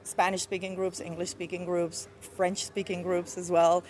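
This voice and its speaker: A woman from Iran whose first language is Farsi, a guest poet talking thoughtfully in a casual interview outdoors, with soft fillers. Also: fairly low pitch, level tone, normal speed, a little hiss or hum. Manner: reflective, with soft fillers and light laughs. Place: outdoors outside a bookshop.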